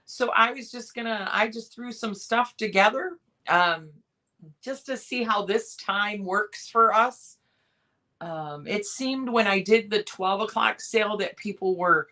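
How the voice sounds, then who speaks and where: A hoarse woman with a cough, hosting a casual live sale and chatting in a rambling way with many pauses and restarts. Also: medium pitch, sharp tone. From Michigan, USA. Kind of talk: casual live-stream chat.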